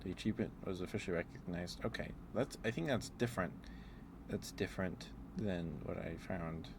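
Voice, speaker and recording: A man with a very low voice talks in a rambling ASMR style, as a livestreamer would. He muses aloud in a halting way, with faint steady noise behind him. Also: creaky. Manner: halting, musing, thinking aloud.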